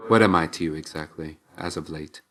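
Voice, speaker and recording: A man playing an aloof, dutiful young god of death in a video game, reserved and measured, with phrases trailing off quietly. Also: very deep voice. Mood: hesitant, reflective. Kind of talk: video game character voice acting.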